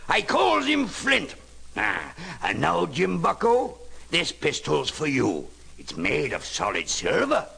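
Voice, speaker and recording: Sly cook, gravelly, slow swaggering drawl, storybook drama. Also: male voice, on the high side, wildly swooping pitch, heavily acted. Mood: jovial, sly. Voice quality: gravelly growl. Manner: drawn-out, swaggering delivery with sailor slang. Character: sly, hearty one-legged pirate ship's cook. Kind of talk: theatrical storybook record acting. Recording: loud music behind the voice.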